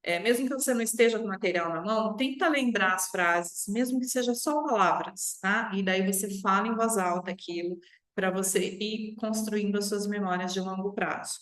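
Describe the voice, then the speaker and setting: Female online language teacher, Brazilian accent, mid-pitched voice, instructive with frequent check-ins; roomy, echoing recording. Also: normal speed, fairly bright tone. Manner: instructive, often checks in with short tag questions. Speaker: English teacher. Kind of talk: online language lesson to viewers.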